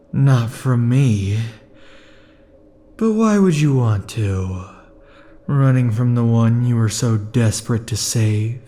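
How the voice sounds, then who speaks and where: A man playing a slow, dark obsessive immortal. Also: medium pitch, natural voice. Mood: menacing. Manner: slow, intimate, brooding delivery with sudden furious outbursts. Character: obsessive male immortal, possessive and unstable lover. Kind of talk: ASMR roleplay.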